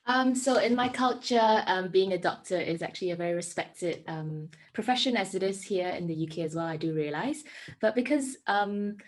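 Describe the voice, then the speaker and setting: A woman's voice: on the low side, moderate pace. A woman in her twenties with a Singaporean accent, a medical student giving an earnest prepared talk with frequent ums and self-corrections.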